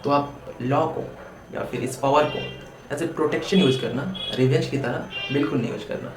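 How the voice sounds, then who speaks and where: A man's voice: mid-range voice, fairly even intonation, measured pace, music in the background. Indian man explaining in an echoey room. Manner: direct address with rhetorical questions. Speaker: presenter of a legal awareness video. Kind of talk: explanatory talk to viewers. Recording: echoey room with faint background noise.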